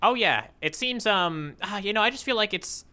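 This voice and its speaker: A man's voice: sits high, lively intonation, moderate pace, sharp tone. A man co-hosting a podcast in casual chat, riffing on tangents and tossing in little sound effects. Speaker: podcast co-host.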